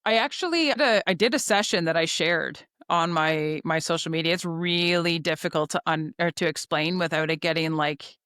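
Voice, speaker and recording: A woman's voice: deep voice, moderate pace, few pauses, a bit bright, clear, resonant tone, no room sound, clean studio sound. A middle-aged woman from Canada, a podcast guest telling her story openly and explaining with examples. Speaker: interview guest. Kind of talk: candid podcast conversation.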